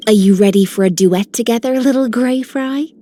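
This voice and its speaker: A woman's voice: a little high, moderate pace, dark tone, lightly acted. An actress playing a poised, gracious woman in a fantasy video game, speaking elegantly and poetically. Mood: fond, inviting. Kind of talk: scripted game character voice lines.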